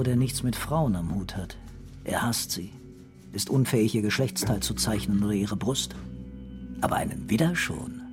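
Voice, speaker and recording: An actor playing a middle-aged, dreamy and brooding police commissioner in a radio crime drama, talking calmly and deliberately. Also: male voice, medium pitch, natural voice. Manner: musing, calm, deliberate.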